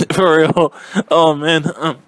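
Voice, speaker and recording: A man giving a casual, rambling spoken review, halting and slangy, with a silly, giggly manner. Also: on the high side, steady tone, creaky, fairly bright tone. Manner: silly, giggly, easily cracks up. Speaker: vlogger giving film and game reviews.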